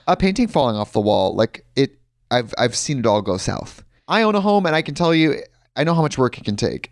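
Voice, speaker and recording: A man's voice: medium pitch, no echo, studio quality. A man reading a sponsor ad in an upbeat, conversational way with personal asides. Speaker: sponsor ad reader. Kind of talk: host-read ad.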